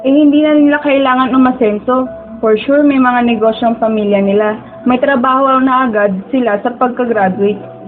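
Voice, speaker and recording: A young woman acting as a dreamy, self-doubting high school girl who narrates her own story in a radio drama, mixing in English. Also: fairly even intonation, normal speed, soft background music, close to an everyday voice. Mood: wistful, resigned. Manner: code-switches into English. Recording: tinny, phone-like audio with hiss.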